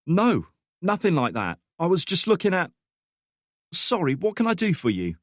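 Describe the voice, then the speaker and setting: A jumpy young man, as a male narrator puts him on, flustered and pitched up high. It is recorded close and dry, with no space around the voice. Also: wildly swooping pitch, normal speed, no echo, full character voice.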